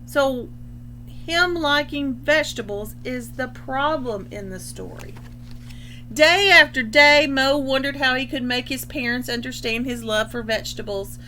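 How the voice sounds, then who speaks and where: A woman reading a children's picture book aloud expressively, pausing to explain things in simple terms. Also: high voice, pushed and bright, a little hiss or hum. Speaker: storybook reader.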